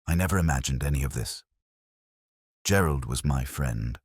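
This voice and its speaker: Flustered, pleading female suspect read by a man, very low register, detective audiobook. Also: lively intonation, moderate pace, husky, no room sound, clean studio sound, full character voice. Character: anxious, pleading woman suspect in detective story.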